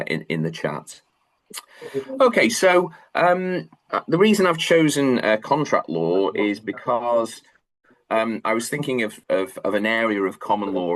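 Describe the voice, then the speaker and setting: Male law lecturer, Manchester accent, deep voice, halting delivery full of fillers, online class. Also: a bit bright. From England. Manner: hesitant, many fillers and restarts.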